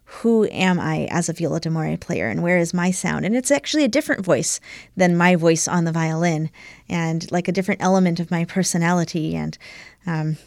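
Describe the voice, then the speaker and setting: Woman, middle-aged, talkative, enthusiastic, slightly low voice, dry recording. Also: brisk, creaky, darkish tone, no room sound. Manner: enthusiastic, talkative, frequent "you know" and "um". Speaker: professional violinist, interview guest. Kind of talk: relaxed interview answers.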